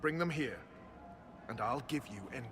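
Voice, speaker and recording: A man's voice: medium pitch, lively intonation, moderate pace, natural voice. Grim knight officer played by a man, noisy background. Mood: resolute command. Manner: commanding, earnest. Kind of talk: dramatic video game voice acting.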